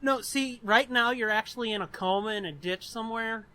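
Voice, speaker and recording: High, bright-voiced male podcast co-host. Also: fairly quick, clean tone. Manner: crude, heavy swearing, sardonic joking. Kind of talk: casual comedic banter.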